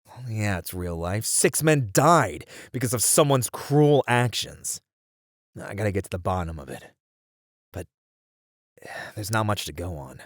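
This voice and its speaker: A man's voice: lowish voice, expressive, unhurried, long pauses, no echo, studio quality. A man acting as a weary detective in an ASMR roleplay, musing conversationally over a murder case in a casual way. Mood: weary, determined. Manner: casual, musing, sighing delivery. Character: weary male detective puzzling over a serial killer case.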